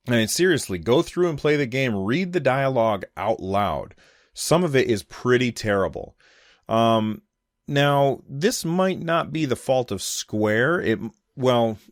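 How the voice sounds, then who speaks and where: A man's voice: fairly low pitch, no echo, studio quality. An American man giving casual, unscripted solo commentary on gaming news, thinking aloud in loose conversational phrases. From United States.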